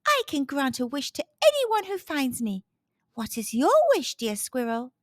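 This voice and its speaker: A woman's voice: high-pitched, very animated, a little slow, dry recording, clean studio sound. A woman voicing a small magic talking hazelnut in a children's story, a kindly wish granter with a playful, inviting manner.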